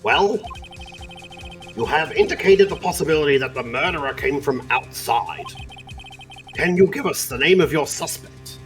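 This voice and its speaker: A man plays an elderly judge in a video game fan dub, grave and formal. His delivery swings widely in pitch, with theatrical pomp. Soft music plays behind him. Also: animated, normal speed. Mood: measured. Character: grave elderly male courtroom judge, easily flustered and pompous.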